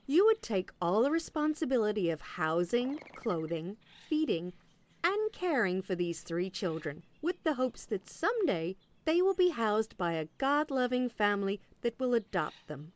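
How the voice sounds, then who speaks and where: A woman's voice: fairly high pitch, sing-song, normal speed. A woman acting in an audio play as an officious agent, politely relentless and salesy, with veiled sarcasm. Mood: briskly officious.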